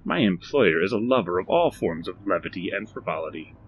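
A man voicing an eerie, overly formal little male stranger who speaks slowly and precisely. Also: deep voice, lively intonation, highs cut off, slight background noise. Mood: measured.

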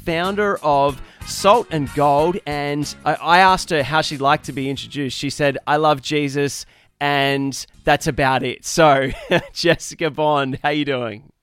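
A man's voice: on the high side, steady tone, measured pace, a bit bright, smooth, dry recording, faint music behind. A man hosting an interview podcast, chatty and upbeat, laughing easily with his guest. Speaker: podcast host. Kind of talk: casual interview chat.

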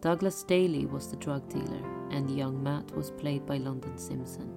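A woman with a creaky voice reading credits as an announcer in scripted narration. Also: extremely low pitch, moderate pace. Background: piano music.

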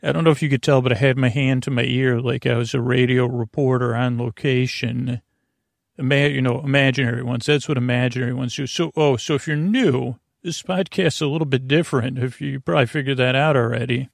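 A man hosting a bedtime podcast in a meandering, halting, self-deprecating monologue with whimsical asides. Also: mid-range voice, steady tone, gravelly, no echo, studio quality. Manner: whimsical, acting out little imaginary exchanges. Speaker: podcast host.

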